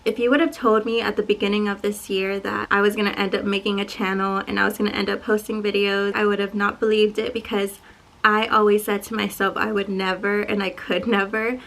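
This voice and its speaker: A woman in her twenties from Dallas, Texas, chatting casually to camera in a get-to-know-me Q&A video, soft-spoken and a little shy. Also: medium pitch, level tone, fairly quick, creaky, low-quality recording. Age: 20s. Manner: soft-spoken, self-described shy and quiet. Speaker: YouTuber.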